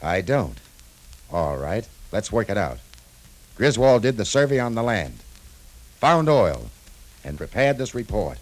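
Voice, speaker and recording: An actor playing a tough, shrewd cafe owner turned detective in a 1950s radio drama, terse and hard-boiled. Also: male voice, lowish voice, very animated, measured pace, pauses often, rough recording. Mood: wry, accusing.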